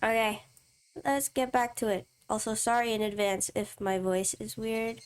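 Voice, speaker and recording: A woman's voice: a little high, fairly even intonation, moderate pace. A woman streamer with allergy sniffles, reading a visual novel's first-person text aloud with asides of her own. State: stuffy from allergies.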